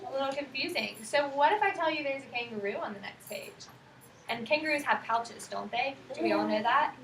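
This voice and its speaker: High-voiced woman reading aloud, distant and echoey. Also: animated, fairly quick, fairly bright tone. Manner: asks simple questions, prompting and affirming children's answers. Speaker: student teacher reading aloud to kindergarten children. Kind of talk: interactive picture-book read-aloud to young children. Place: echoey indoor room with children. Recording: roomy, noisy, distant microphone.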